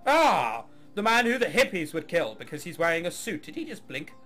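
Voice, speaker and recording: A man's voice: a little high, sing-song, fairly quick, a bit bright, soft background music. A man doing a let's play of a visual novel, narrating and throwing in sarcastic, mock-exasperated asides. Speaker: gamer reading and commenting on a visual novel.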